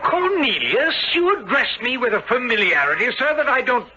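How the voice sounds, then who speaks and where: High-strung, high-voiced haughty man, noisy old radio. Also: big pitch swings, moderate pace. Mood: haughty, flustered. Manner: formal, haughty, stammering when flustered. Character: irritable, nervous man hiding a secret, newlywed husband. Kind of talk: 1970s radio drama acting. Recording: noisy, muffled old radio broadcast recording.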